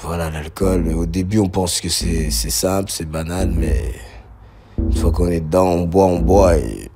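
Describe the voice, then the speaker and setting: A man from Belgium gives a spontaneous personal testimony, reflective and halting, repeating words as he searches for them. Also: deep voice, mellow, a little hiss or hum. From Belgium, grew up around Liège. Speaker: interview subject telling his life story. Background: music under the voice.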